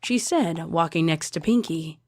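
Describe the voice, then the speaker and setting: Low-voiced woman reading a story aloud. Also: a little slow, darkish tone, no echo. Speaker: fan fiction reader. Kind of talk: scripted story narration. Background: music.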